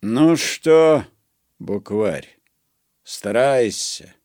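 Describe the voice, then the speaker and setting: A man's voice: mid-range voice, expressive, very slow, a little mellow, no room sound. A man voicing a wry legless war veteran. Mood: teasing. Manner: dry, cautionary, conspiratorial.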